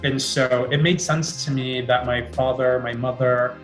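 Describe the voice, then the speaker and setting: A man speaks earnestly in a discussion with a steady, flat pitch. The room adds noticeable echo. Faint guitar music sits behind him. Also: unhurried. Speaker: panel participant. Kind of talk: conversational discussion. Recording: roomy echo.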